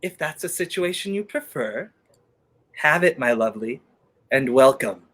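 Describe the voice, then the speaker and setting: A man's voice: medium pitch, animated, moderate pace, fairly bright tone. A man playing a brash, sardonic, commanding fugitive in a 1930s radio play. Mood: sardonic menace. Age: young adult. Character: brash wrongly accused man on the run, sardonic, urgent.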